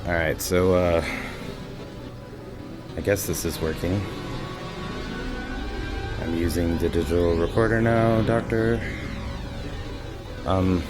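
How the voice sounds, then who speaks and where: Man playing a hesitant low-voiced new homeowner. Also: fairly even intonation, over music. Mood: relaxed, tentative. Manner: halting, tentative, casual. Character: hesitant, uneasy male new homeowner living alone. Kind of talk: naturalistic fiction podcast acting.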